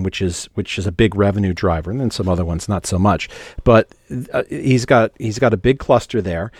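A man's voice: fairly low pitch, no room sound, clean studio sound. A man co-hosting a podcast, talking casually and analytically in an explanatory, matter-of-fact way. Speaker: podcast co-host. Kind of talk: casual analytical talk about radio business.

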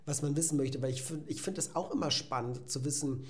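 A man from Belgium with a somewhat high voice, co-hosting a relaxed podcast. He talks with ironic humor and climbs into loud rants. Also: fairly quick. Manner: animated, ironic, gets loud when ranting. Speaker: podcast co-host. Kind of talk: casual chat. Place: echoey room. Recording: roomy echo.

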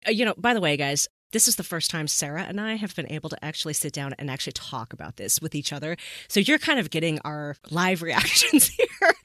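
A woman's voice: low voice, very animated, brisk, hardly any pauses, a bit bright, no room sound, studio quality. A woman hosting a podcast in a casual, chatty, amused and informal way. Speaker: podcast host.

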